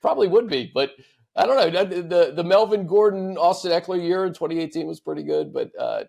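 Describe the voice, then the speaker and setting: A man's voice: sits high, very animated, a little fast, gravelly, a little mellow. An American man hosting a sports podcast in casual, conversational talk, often repeating words as he thinks aloud. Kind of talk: casual sports talk, fielding fan questions.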